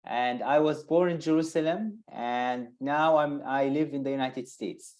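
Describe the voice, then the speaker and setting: A man with a Palestinian accent, an interview guest telling personal stories informally, haltingly and with repeated words. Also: mid-range voice, darkish tone. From Bethlehem, Palestine.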